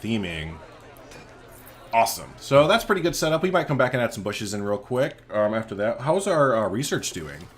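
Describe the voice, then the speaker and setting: A man's voice: medium pitch, very animated, normal speed. A man giving casual, chatty gameplay commentary as a let's play host, thinking aloud informally as he goes.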